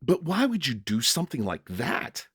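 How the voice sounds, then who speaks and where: Man acting an earnest, bewildered jailer, wildly expressive. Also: mid-range voice, moderate pace, husky, no echo, studio quality, heavily acted. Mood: baffled, questioning. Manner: stammering, blurting, dramatic. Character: young-hearted jailer narrator, bewildered, earnest, emotional father. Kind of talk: first-person dramatic story reading.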